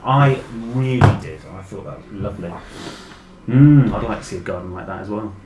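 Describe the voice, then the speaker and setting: A British man reading a picture book aloud to children, enthusiastic and expressive, chatting directly to his young listeners. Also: fairly low pitch, normal speed. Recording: echoey room with faint background noise.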